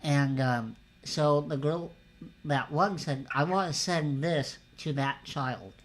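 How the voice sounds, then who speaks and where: A man hosts a casual livestream interview. He talks in a relaxed, conversational way, and his voice is a little higher than most men's. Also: slow pace, slight background noise. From California. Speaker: interview show host.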